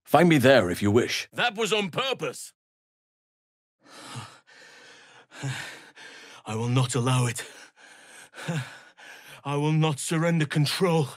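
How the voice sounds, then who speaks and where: An actor playing a calm, earnest and wise male druid healer, protective in temper, for a video game. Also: on the high side, a little slow, long pauses, no echo, studio quality. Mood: resolute. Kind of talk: video game character voice lines.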